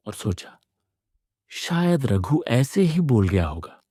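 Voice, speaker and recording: A man narrating a scripted first-person horror story in a suspenseful, deliberate manner. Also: on the low side, dark tone, no echo, clean studio sound.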